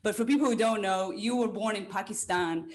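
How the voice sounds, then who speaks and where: A woman hosting a podcast interview in a conversational way, with halting, emphatic phrasing and repeated words. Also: mid-range voice. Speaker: podcast host.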